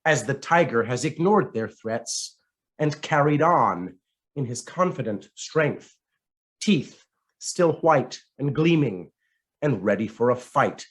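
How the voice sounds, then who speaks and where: A man reading a written essay aloud as scripted narration, in a measured, dramatic way with deliberate pauses. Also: medium pitch. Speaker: reader of a written article.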